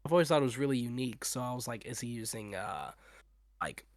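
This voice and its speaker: A man's voice: mid-range voice, expressive, fast talker, no echo, studio quality. A young man talking casually as an interview guest, agreeable, slangy and enthusiastic. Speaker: guest on an interview, horror series creator. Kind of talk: casual chat.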